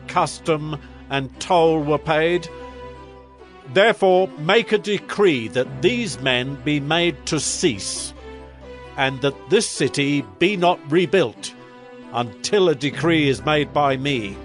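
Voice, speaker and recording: A slow, stern king. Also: fairly high pitch. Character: stern royal male king issuing a decree. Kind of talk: dramatized scripture reading.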